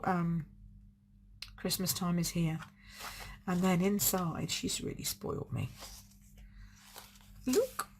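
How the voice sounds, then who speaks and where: Chatty woman, slightly croaky. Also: medium pitch, a little hiss or hum. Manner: chatty and effusive, gushing over crafts. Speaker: card-making demonstrator hosting a live stream. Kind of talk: casual live-stream show and tell.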